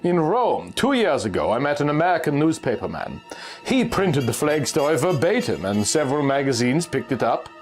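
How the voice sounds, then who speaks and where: Man playing a shrewd, animated Austrian treasure schemer. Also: on the high side, normal speed, faint music behind. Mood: sly, assured. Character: shrewd, confident Austrian ex-soldier recounting a treasure plot. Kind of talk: dramatic reading of pulp magazine dialogue.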